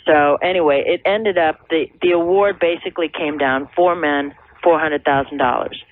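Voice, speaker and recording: A woman's voice: deep voice, moderate pace. An American woman in her thirties speaks as a caller to a radio advice show. She explains her situation earnestly and a little hesitantly, in conversational phrases. The sound is a thin telephone line on an old radio tape. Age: 30s. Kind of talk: conversational personal account.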